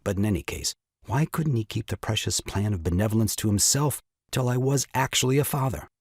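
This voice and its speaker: A man's voice: lowish voice, expressive, a little fast, breathy edge, no echo, studio quality. An actor playing a self-pitying, exasperated new father in an audio farce, with grandiloquent, melodramatic delivery. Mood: exasperated ranting. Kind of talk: theatrical audio drama farce acting.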